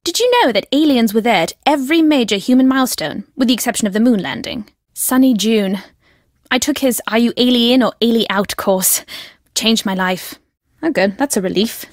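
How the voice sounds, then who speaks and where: A woman's voice: on the high side, moderate pace, dry recording, studio quality, natural voice. An actress voicing a secretive woman in a video game who believes fervently in ancient aliens. She speaks in a curt, cryptic manner that turns fervent. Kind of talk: recorded game voice lines.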